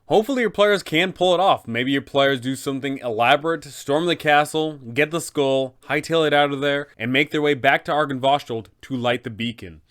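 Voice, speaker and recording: A man's voice: fairly high pitch, a little fast, hardly any pauses, a bit bright. A man hosting a game master guide, talking in an enthusiastic, casual way. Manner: enthusiastic, upbeat. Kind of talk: casual tutorial narration.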